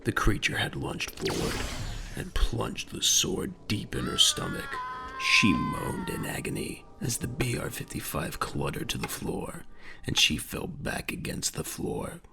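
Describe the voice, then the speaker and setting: A man with a gravelly voice narrating a dramatic audio drama in a grave, measured storytelling manner. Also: huge pitch swings. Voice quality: very deep, gravelly. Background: faint music.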